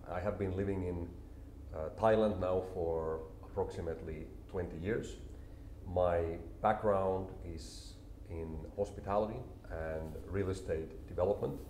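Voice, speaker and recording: A man, a company CEO explaining his work calmly and in a measured way in an interview. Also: sits low, a little hiss or hum. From Finland. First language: Finnish. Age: 40s. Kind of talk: business interview. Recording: roomy echo, faint ambience.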